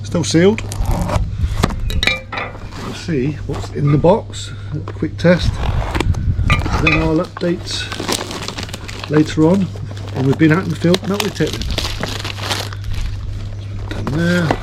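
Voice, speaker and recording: A man's voice: fairly high pitch, slow pace, pauses often, very noisy. A man giving a casual, informal unboxing commentary in an off-the-cuff way. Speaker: video host reviewing a product.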